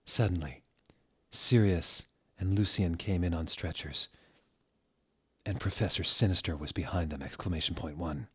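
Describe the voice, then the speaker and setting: A man hosting a mock-dramatic reading of fan fiction, deadpan, sounding out typos and punctuation as written. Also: low voice, moderate pace, long pauses, breathy edge. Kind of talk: mock-dramatic scripted narration.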